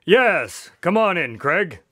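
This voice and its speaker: An actor playing a courteous, curious doctor and detective's sidekick in a radio drama, with a polite manner. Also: male voice, sits high, big pitch swings, slow, a bit bright, a little hiss or hum, exaggerated voice. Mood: genial, storytelling.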